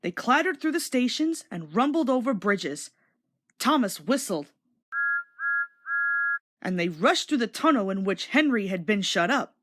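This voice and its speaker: A woman narrates a children's storybook. Her voice sits fairly high and is very animated in pitch. The delivery is scripted read-along storytelling. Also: unhurried, pauses often.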